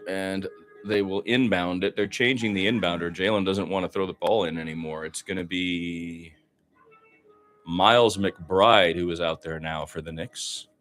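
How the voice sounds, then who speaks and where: Low-voiced man calling basketball play-by-play. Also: unhurried, faint music behind. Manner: narrates action in short clipped phrases, rising excitedly on big plays. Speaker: radio play-by-play commentator. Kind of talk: live basketball play-by-play commentary.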